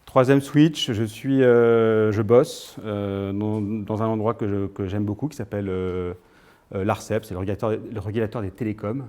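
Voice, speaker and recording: A man's voice: lowish voice, fairly even intonation, moderate pace, a little mellow. A man of thirty-nine, giving an informal personal talk to an audience in a hesitant storytelling manner. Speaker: guest speaker.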